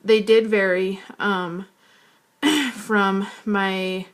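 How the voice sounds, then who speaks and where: A woman student with a deep, hoarse voice and vocal fry, talking casually and hesitantly to camera. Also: steady tone, very slow. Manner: hesitant, frequent fillers. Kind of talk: casual video assignment to camera.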